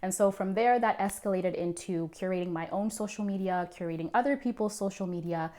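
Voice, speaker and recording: A woman's voice: mid-range voice, a little fast, mellow. A young woman from Zimbabwe who is a founder and creative director, telling her personal story in an earnest, reflective way with frequent ums. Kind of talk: personal story told to camera.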